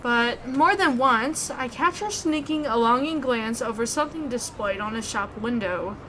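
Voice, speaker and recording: A woman narrating a horror visual novel in the first person, reading with expressive, suspenseful delivery. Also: a little high, normal speed, background noise.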